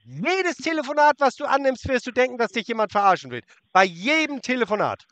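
A man's voice: extremely high pitch, very animated, a little fast, fairly bright tone, no echo. A man on a prank call, mocking and insulting a scammer and talking over him. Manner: taunting, insulting, talks over the other. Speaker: prank caller baiting a scammer.

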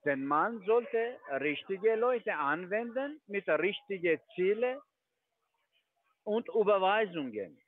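A man's voice: high-pitched, animated, slow, no echo. A half-Italian man working as a trading firm agent, pushing insistently in broken German over the phone. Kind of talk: phone call. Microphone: telephone. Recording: tinny phone line.

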